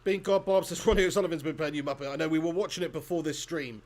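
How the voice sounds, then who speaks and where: Chatty high-voiced guy streaming. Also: fairly even intonation, fast talker, a little mellow. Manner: chatty, laughs often, banters with viewers. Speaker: streamer hosting a football watch-along. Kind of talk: casual live commentary reading chat.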